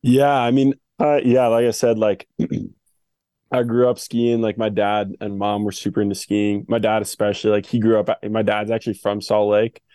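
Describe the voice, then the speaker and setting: Relaxed young man, low and flat. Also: normal speed, clean tone, no echo. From Switzerland. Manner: relaxed and easygoing, with fillers. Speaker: guest, pro skier. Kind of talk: casual interview answers.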